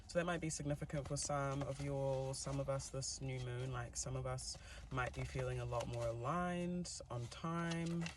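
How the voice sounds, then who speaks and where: A man's voice: medium pitch, fairly even intonation, moderate pace, noisy background. A man with a New Zealand accent, giving a chatty, giggly tarot reading with plenty of fillers. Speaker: tarot reader. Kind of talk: casual tarot reading to camera.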